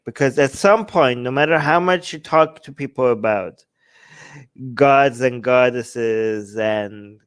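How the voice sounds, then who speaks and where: A man's voice: medium pitch, clear, resonant tone, dry recording. A man giving casual political commentary as a co-host, talking emphatically and often checking in with rhetorical questions. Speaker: talk show co-host.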